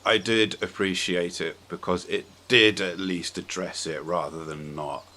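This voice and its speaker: A man with a very deep voice hosts a casual, opinionated conversation. He speaks bluntly in halting phrases, feeling his way through points. Some background noise sits behind him. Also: steady tone, sharp tone. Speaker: podcast host. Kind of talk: casual opinionated film discussion.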